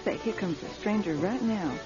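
An actress playing a folksy, chatty diner waitress in a radio drama. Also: female voice, medium pitch, normal speed, natural voice. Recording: dull radio recording, music behind.

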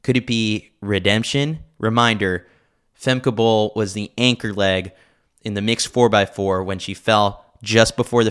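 Male host, lower register, scripted narration of a sports opinion newsletter. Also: a bit bright, clean tone. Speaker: podcast host reading a newsletter.

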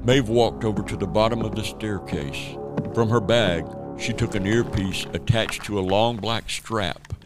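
A man narrating an audio drama in a measured storytelling way. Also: on the low side, music in the background. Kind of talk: scripted narration.

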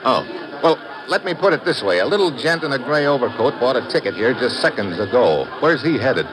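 An actor playing a tough, wry, wisecracking adventurer who narrates an old radio drama in clipped phrases. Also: male voice, mid-range voice, sing-song, brisk, crowd noise, close to an everyday voice, low-quality recording. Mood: wry, brisk. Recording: vintage radio with loud music behind.

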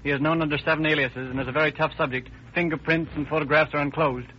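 A man plays a formal bureau official in a radio drama, reading quickly in a flat monotone. The old recording is muffled and noisy. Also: a little high, close to an everyday voice. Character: official male bureau clerk reading a report.

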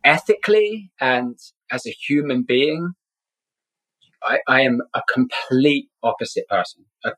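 A middle-aged man with a London accent shares a personal story as a podcast guest. His voice sits at an average pitch. He speaks earnestly and repeats himself to drive a point home. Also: expressive, measured pace, fairly bright tone, poor audio. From Scotland, grew up in London. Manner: earnest, emphatic repetition.

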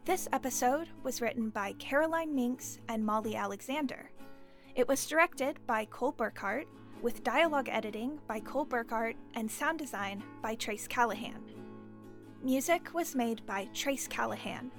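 Woman reading credits, fairly high voice. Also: normal speed, soft background music. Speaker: credits announcer. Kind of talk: scripted narration.

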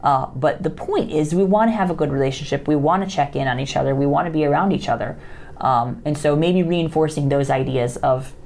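A woman's voice: low-pitched, a little mellow, slight background noise. A woman, hosting a podcast and casually answering listener questions, with lots of fillers and restarts. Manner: conversational, many fillers and restarts. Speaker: podcast host.

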